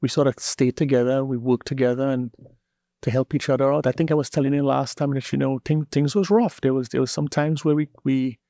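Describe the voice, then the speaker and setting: Gravelly Trinidadian man, dry close sound. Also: medium pitch, brisk, no echo. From Trinidad and Tobago. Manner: relaxed, repeats words while searching for them. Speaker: guest. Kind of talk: casual interview talk.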